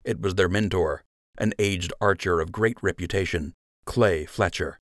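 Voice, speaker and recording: A man's voice: low-pitched, normal speed, no echo, studio quality. A man narrating an audiobook story in a scripted storytelling style.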